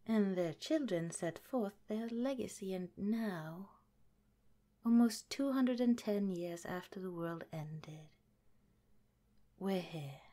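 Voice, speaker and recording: Woman playing a softly whispering, scheming lab director. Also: medium pitch, expressive, slow. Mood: hospitable. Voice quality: soft and half-whispered. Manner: sweetly hospitable, with a scheming edge. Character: sly, gentle woman scientist running an underground lab. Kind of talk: ASMR roleplay.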